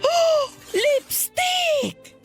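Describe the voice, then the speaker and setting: A woman's voice: very high voice, very slow, full character voice. A woman playing a thrifty, affectionate wife and mother who banters wryly in a radio drama. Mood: affectionate, playful. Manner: wry, bantering. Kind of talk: Tagalog radio drama acting. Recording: music behind the voice.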